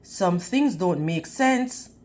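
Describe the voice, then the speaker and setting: A woman's voice: lowish voice, expressive, takes it slowly, a little mellow. A woman voicing a stubborn, touchy male leprechaun from a storybook, with quaint, firm speech.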